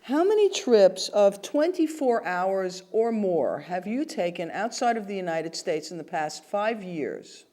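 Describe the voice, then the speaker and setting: A woman's voice: mid-range voice, very animated, unhurried, few pauses, mellow. A woman in the interviewer's role, asking formal questions politely in a scripted interview.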